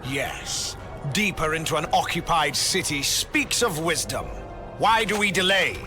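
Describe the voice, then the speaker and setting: Urgent, high-pitched embattled warrior. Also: male voice, expressive, unhurried. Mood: impatient. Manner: urgent, formal, dramatic. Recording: voice under clear background music.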